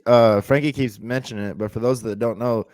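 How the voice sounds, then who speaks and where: A man's voice: mid-range voice, steady tone, fairly quick, a little mellow, smooth, no room sound. A man co-hosting a podcast, casually prompting a guest with plenty of "like" and "you know". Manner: loose, filler-heavy prompting. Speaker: podcast co-host. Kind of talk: casual chat.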